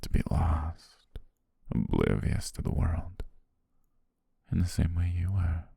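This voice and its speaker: A man playing an obsessive, menacing photographer in love, speaking slowly and intimately in an ASMR roleplay with a husky, breathy voice. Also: big pitch swings, dry recording, clean studio sound, full character voice. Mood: entranced, hushed. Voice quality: very deep, husky, creaky, breathy. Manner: slow, hushed, lingering pauses. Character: obsessive, menacing yandere male photographer stalking his beloved.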